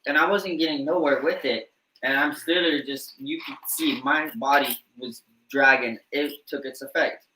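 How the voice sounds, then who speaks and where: Co-host, male, bright, slightly high voice, earnest storyteller, echoey room sound. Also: normal speed, a little hiss or hum. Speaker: podcast co-host. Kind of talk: casual podcast chat.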